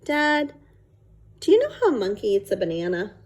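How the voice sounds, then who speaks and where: A woman's voice: medium pitch, wildly swooping pitch, normal speed, a little mellow. A woman voicing an eager, earnest little girl in a storybook, with an emphatic, pleading manner.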